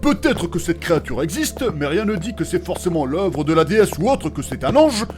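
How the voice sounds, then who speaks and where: Guy doing a know-it-all skeptic hero in a funny fantasy audio show, high voice, blunt, faint music behind. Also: big pitch swings, a little fast, darkish tone. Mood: argumentative. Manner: blunt, contradicting, colloquial. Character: clever, skeptical, argumentative male adventurer. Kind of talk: scripted comic fantasy audio drama acting.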